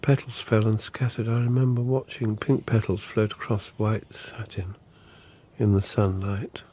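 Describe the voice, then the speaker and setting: An actor playing a diffident, bereft older politician in a radio drama, formal, measured and wistful. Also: male voice, on the low side, level tone, mellow.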